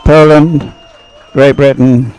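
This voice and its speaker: A man's voice: mid-range voice, fairly even intonation, takes it slowly, a little mellow, smooth, some chatter behind, low-quality recording. An older man giving live commentary on a boat regatta, chatty and hesitant, often drifting into rambling asides. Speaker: sports commentator, former umpire.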